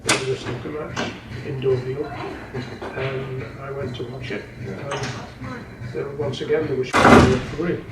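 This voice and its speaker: An elderly man in his eighties, a native Welsh speaker, recalls decades of snooker coaching in a slow, meandering way. The recording is distant and roomy. A steady hum of background noise sits under his voice. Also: medium pitch. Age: 80s. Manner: unhurried, rambling with many ums and restarts. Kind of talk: interview, reminiscing. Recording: echoey room, distant mic, background noise.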